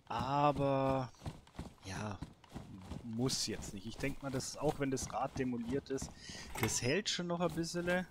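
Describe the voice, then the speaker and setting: Male let's player, medium pitch, casual thinking-aloud commentary, noticeably noisy recording. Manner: colloquial, musing to himself. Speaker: gamer, let's play host.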